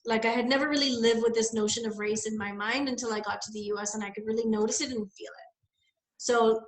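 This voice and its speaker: A young woman from the Bahamas tells a personal story in a relaxed, reflective way, with lots of fillers as she thinks aloud. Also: on the high side, reverberant, poor audio. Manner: reflective, rambling, many fillers. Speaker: guest. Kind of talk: casual conversation, personal story.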